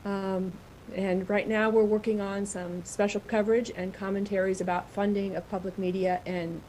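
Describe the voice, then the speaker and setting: A woman who is a managing editor, introducing herself at a roundtable in a brisk, matter-of-fact way. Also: medium pitch, little pitch movement, a little mellow, a little hiss or hum, rough recording.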